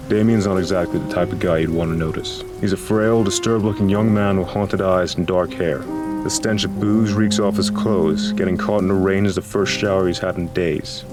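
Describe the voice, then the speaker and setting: Low-voiced man narrating noir horror over music. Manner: grave, dramatic storytelling. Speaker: narrator and voice actor. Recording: music and rain behind voice.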